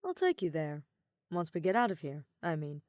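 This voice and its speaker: A woman voicing a relaxed, easygoing teenage boy who talks casually and teases gently. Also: low-pitched, normal speed, dry recording, studio quality. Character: laid-back easygoing teen boy.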